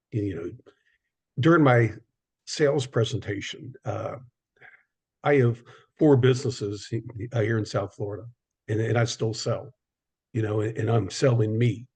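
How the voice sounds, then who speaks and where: A man with a slightly husky voice hosts a relaxed conversation. He stutters often and repeats words and sounds as he talks. He keeps a friendly, conversational pace. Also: medium pitch, a little slow, pauses often. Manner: stuttering speech with repetitions, conversational. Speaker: podcast host, business owner. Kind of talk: casual conversation.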